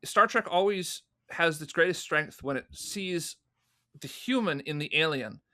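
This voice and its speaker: A man with a fairly high, forceful, bright voice talks as an interview guest. He explains creative decisions in articulate, halting phrases and leans on the important words. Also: animated. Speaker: interview guest, audio drama producer and writer.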